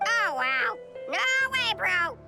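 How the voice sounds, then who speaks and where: A woman's voice: very high voice, very animated, takes it slowly, loud music behind the voice, exaggerated voice. A woman voicing a tiny, sassy male baby dinosaur creature in a video game, snapping out curt mocking catchphrases. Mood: sassy, dismissive.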